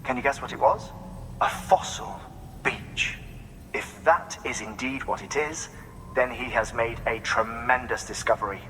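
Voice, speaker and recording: An actor playing an eloquent, eager scientist in a video game, lecturing in a precise, theatrical way. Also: male voice, medium pitch, steady tone, a little slow, sharp tone, a little hiss or hum, rough recording. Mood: excited lecturing. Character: eloquent, eager male scientist giving orders. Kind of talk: video game cutscene acting, dramatic exposition.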